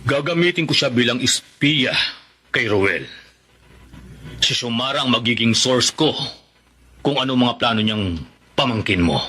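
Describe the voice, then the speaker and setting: Forceful, scheming old grandfather. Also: mid-range voice, animated, a little slow, long pauses. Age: older. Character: old scheming grandfather, politician out to reclaim power. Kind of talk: Tagalog radio drama acting.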